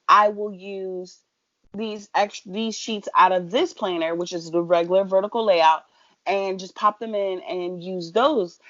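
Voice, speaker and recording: A woman's voice: fairly low pitch, fairly bright tone, no echo, muffled recording. A woman co-hosting a casual podcast, chatting playfully with sassy asides like "honey" and "girl.". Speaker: podcast co-host. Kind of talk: casual chat.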